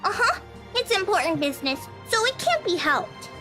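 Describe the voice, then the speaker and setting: A bubbly, chatty little schoolgirl in a visual novel game. Her voice is extremely high and swings up and down with innocent cheer, over clearly audible music. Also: very animated, normal speed.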